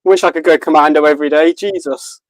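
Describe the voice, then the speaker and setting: Higher-voiced man bantering on a podcast. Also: fairly even intonation, fast talker, dry recording. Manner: crude, self-deprecating joking, swears freely. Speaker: podcast co-host. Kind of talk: casual chat, watch party banter.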